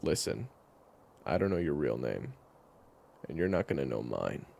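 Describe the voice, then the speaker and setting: A man playing a gruff, jaded vampire hunter in an audio roleplay, blunt and sternly protective as he gives terse orders. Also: rumbling low, sing-song, moderate pace, a little mellow, soft background music, exaggerated voice. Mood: grave, stern. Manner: blunt, terse, commanding, cursing. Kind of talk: audio roleplay acting addressed to the listener.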